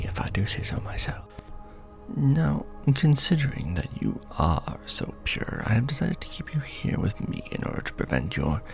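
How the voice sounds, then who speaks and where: A man's voice: fairly low pitch, gravelly, darkish tone. A man playing an ancient, pompous, scheming vampire lord in a theatrical, courtly ASMR roleplay monologue.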